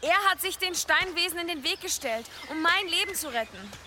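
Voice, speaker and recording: A woman's voice: very high-pitched, animated, brisk, bright, forceful tone, exaggerated voice. An actress in a German radio drama playing an earnest, practical young woman with a direct, sincere manner. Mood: earnest grief. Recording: clear ambient noise behind.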